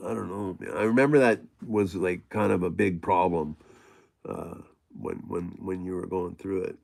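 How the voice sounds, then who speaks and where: Gravelly-voiced man chatting on a livestream. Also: fairly low pitch, a little mellow. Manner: rambling, many fillers and restarts, chatty. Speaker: livestream host reading chat. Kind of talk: casual livestream chat.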